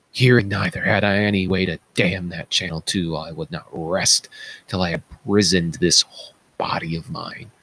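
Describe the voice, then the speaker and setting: A man's voice: low-pitched, a bit bright, slightly rough, exaggerated voice, poor audio. A man playing an anguished, self-loathing blinded king in a theatrical, declamatory reading of Greek tragedy. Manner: declamatory, tormented, dramatic.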